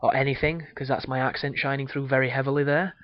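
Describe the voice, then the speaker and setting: A man with a hoarse voice, giving casual, crude, rambling commentary over a game walkthrough. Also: mid-range voice, level tone, fast, no echo, muffled recording. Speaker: gameplay commentator.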